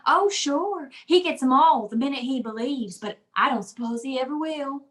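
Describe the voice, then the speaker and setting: A woman's voice: high voice, normal speed, fairly bright tone. A woman voicing a folksy, chatty little male Christmas brownie with a Southern US accent, in old radio comedy style. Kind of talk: 1950s radio comedy acting.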